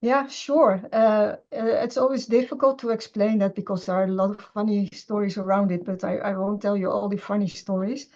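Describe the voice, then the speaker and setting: A woman's voice: medium pitch, fairly even intonation, fairly quick, hardly any pauses, a little mellow. Hesitant woman scholar. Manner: hesitant, many fillers and restarts. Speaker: interview guest, scholar of Semitic languages. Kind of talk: conversational interview. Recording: dull lo-fi sound.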